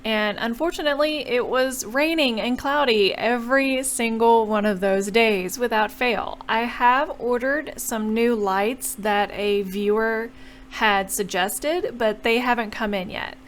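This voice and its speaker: Young woman, fairly high voice, chatty tutorial voice-over, explains her painting steps. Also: unhurried, a little hiss or hum. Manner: chatty and explanatory, often apologetic. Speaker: bullet journal creator.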